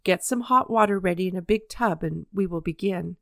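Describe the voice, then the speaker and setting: A woman voicing a kindly grown-man doctor who speaks calmly and gently. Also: on the low side, a little fast, gravelly, dry recording, clean studio sound. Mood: earnest.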